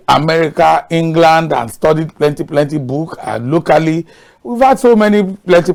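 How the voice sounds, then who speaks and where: A Nigerian man speaking as a guest on a talk show, with a gravelly edge and a fairly high voice. He talks emphatically, repeating phrases and leaning on folksy wording. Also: a little mellow.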